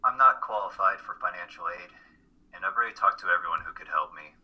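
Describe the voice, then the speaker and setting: A man's voice: low voice, quick pace, slightly rough, highs cut off. A man playing a blunt, weary, cash-strapped young college student in an amateur radio play reading. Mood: frustrated, resigned. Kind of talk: live dramatic play reading.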